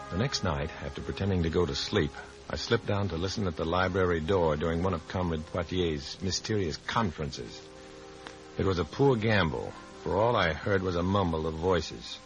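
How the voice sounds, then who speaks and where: An actor playing a tough, wry undercover informant, terse and hard-boiled, in a 1950s radio drama. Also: male voice, deep voice. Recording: faintly noisy vintage radio recording.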